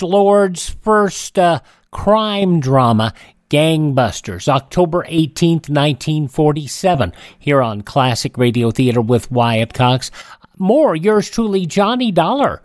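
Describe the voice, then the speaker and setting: A man hosting a classic radio program, reading introductions in a measured announcer style. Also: on the high side, expressive, no room sound, clean studio sound. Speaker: radio show host.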